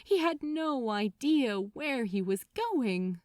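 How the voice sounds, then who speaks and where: A woman reading a story aloud as a dramatic audiobook narrator, theatrical and deliberate, drawing out key words. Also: on the high side, lively intonation, no room sound, clean studio sound. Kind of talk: dramatic scripted fiction narration.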